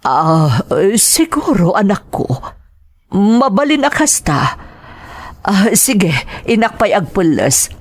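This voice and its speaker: A woman playing an ailing, gentle mother in an Ilocano radio drama, speaking weakly and haltingly with a raspy voice. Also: mid-range voice, big pitch swings. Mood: gentle, hesitant. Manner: weak, hesitant, halting. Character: ailing, gentle, self-sacrificing mother of a schoolgirl.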